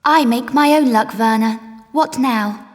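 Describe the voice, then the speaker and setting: A young adventuress, played by an actress in a video game. Her voice is high and cheeky, every line wry and sarcastic. It rings in a long, cavernous reverb. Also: unhurried, soft background music, lightly acted. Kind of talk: video game character dialogue.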